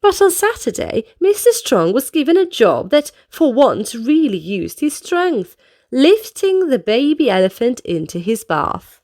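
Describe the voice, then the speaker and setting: A woman's voice: high voice, big pitch swings, unhurried, darkish tone. A woman reading storybooks aloud to children expressively, addressing young listeners with questions and exclamations.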